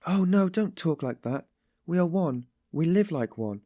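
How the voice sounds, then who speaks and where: Guy doing a distressed young woman in a storybook, meek but passionate, high voice, breathless pleading. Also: moderate pace, darkish tone, no echo, clean studio sound, natural voice. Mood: agitated. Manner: pleading, breathless exclamations and repetitions.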